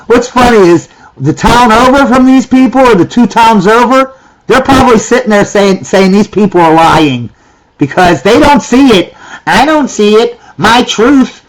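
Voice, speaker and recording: A man's voice: very high-pitched, expressive, normal speed, rough recording. A man giving reaction commentary in a ranting, sarcastic way, often repeating himself.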